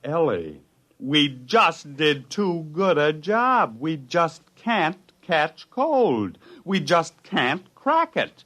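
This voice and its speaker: An urgent commanding scientist. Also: male voice, fairly high pitch, very animated, extremely slow, fairly bright tone, heavily acted. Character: male scientist narrator, driven and impatient. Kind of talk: 1950s radio drama acting.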